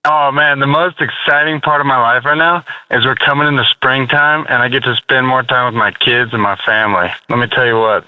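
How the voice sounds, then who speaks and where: A man's voice: medium pitch, clear, resonant tone, no room sound. A man calling in to a radio show, chatting casually in a friendly, enthusiastic way. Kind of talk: casual chat. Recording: lo-fi dry phone call.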